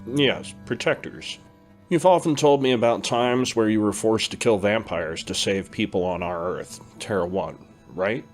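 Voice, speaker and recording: A man playing a calm, steady older brother who teaches about dreams, with faint music behind. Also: lowish voice, a little slow, natural voice. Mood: reassuring. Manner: reassuring, steady. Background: faint background music.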